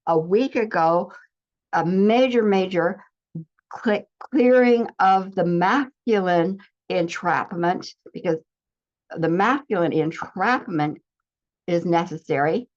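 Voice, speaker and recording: A woman's voice: fairly low pitch, slow. A woman giving an earnest spiritual teaching talk, speaking in declarations with frequent restarts. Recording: slightly dull lo-fi recording.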